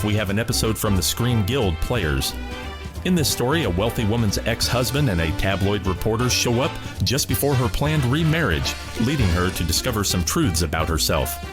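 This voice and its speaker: A male host giving a scripted, steady introduction to vintage radio episodes. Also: lowish voice.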